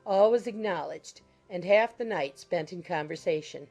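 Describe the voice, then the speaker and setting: A woman's voice: fairly low pitch, expressive, moderate pace, darkish tone, faint music behind. A woman narrating a classic novel as a scripted audiobook.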